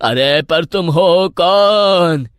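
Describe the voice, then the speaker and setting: Timid cartoon villager male actor: high-pitched, fretful and pleading, recorded dry. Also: extremely slow, smooth, no echo. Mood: terrified. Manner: fretful, pleading, stammering with fright. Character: timid, anxious village man, pleading father. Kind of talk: Hindi cartoon horror story acting.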